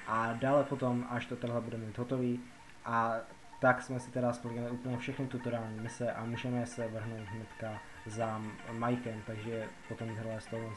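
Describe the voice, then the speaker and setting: Young Czech man, flat casual game commentary. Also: mid-range voice, low-quality recording. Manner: rambling, repetitive, colloquial explaining. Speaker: gaming video host.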